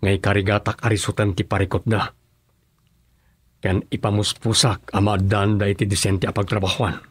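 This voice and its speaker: A man's voice: lowish voice, little pitch movement, normal speed, slightly rough, no echo. A man with an Ilocano accent playing an earnest, firm provincial governor in a radio drama.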